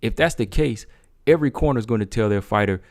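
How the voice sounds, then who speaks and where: Deep-voiced man hosting an MMA talk stream. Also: quick pace, darkish tone, dry recording, clean studio sound. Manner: talks straight to viewers, asks rhetorical questions. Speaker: MMA talk show host. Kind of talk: casual livestream commentary.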